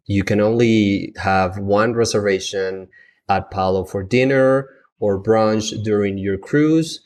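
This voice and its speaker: A man's voice: on the low side, darkish tone. A man from Colombia with a Colombian Spanish accent, a native Spanish speaker, talking in a casual podcast chat with many fillers and restarts. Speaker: travel agent, guest.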